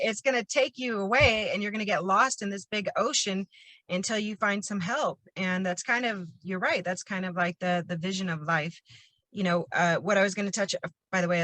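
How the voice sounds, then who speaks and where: A woman's voice: medium pitch, bright, forceful tone, no room sound. A woman hosting a motivational podcast conversation, who talks emphatically and repeats her points for stress. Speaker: podcast host and retreat coach.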